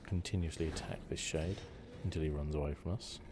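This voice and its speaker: A man hosting an ASMR gaming video, giving hushed, relaxed, conversational commentary. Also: rumbling low, fairly quick. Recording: game sounds noisy behind voice. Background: video game audio.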